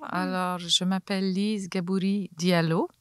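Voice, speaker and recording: A woman's voice: lowish voice, level tone, measured pace, smooth, no room sound, studio quality. An older woman, a university teacher introducing herself plainly in an interview. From Franco-Manitoban, Canada. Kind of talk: conversational interview.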